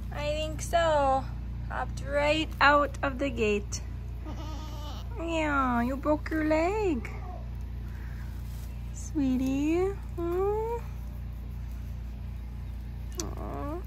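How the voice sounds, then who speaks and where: A woman farmer in a barn talking to her goats in soft, cooing baby talk, filmed on a handheld phone. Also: high voice, drawn-out words, long pauses, low-quality recording. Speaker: farmer vlogger. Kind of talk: casual talk to animals. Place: indoor barn goat pen.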